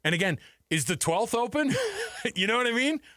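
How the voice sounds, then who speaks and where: A man's voice: very high voice, very animated, fairly quick, pushed and bright, no room sound, studio quality. An American man hosting a sports show in casual, colloquial back-and-forth talk.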